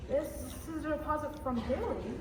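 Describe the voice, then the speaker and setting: An actress playing a blunt, principled bank examiner with a firm, confrontational manner in a stage radio play. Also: female voice, high voice, animated, moderate pace. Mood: brisk. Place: high school theater stage. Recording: very echoey hall, faint noise.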